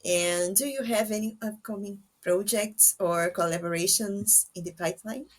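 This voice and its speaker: A woman's voice: mid-range voice, moderate pace. A woman hosting a podcast interview, putting conversational questions to her guest.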